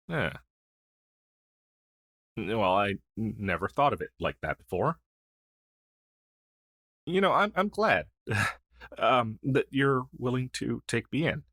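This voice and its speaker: A man's voice: mid-range voice, big pitch swings, slow, long pauses, bright, forceful tone, husky, dry recording, clean studio sound, exaggerated voice. A man playing a friendly, shy crow familiar in an intimate fantasy audio roleplay, speaking in a hesitant, earnest, conversational way. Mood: touched, grateful. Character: friendly, shy crow familiar, a masterless male magical helper. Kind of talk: intimate ASMR roleplay acting.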